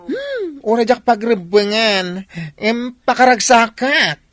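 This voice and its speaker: Woman as a fretful effeminate young son, high-voiced. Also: very animated, takes it slowly. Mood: anxious. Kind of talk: comedy radio drama acting.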